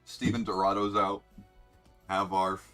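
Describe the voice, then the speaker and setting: A man's voice: deep voice, level tone, unhurried, fairly bright tone. A young man streaming a racing game, rambling and joking as he reads out player names, with faint music behind. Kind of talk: casual live game commentary.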